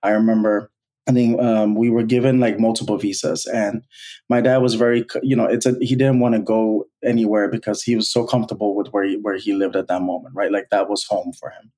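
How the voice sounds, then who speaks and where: Man, low voice, flat delivery, earnest, halting, personal story. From Bangladesh. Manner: earnest, halting, many fillers and restarts. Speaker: guest, college alumnus.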